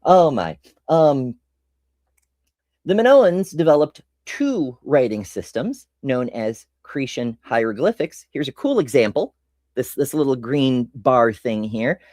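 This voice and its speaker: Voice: medium pitch, sing-song, slow, darkish tone, dry recording, studio quality. An American host giving casual history commentary, rambling and self-interrupting with casual swearing. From United States.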